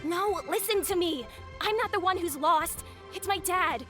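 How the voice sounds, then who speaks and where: A woman's voice: extremely high pitch, measured pace, bright, forceful tone, soft background music, full character voice. A woman voicing a young boy in an anime game, earnest, worried and polite, with a boyish voice. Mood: insistent. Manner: earnest, pleading.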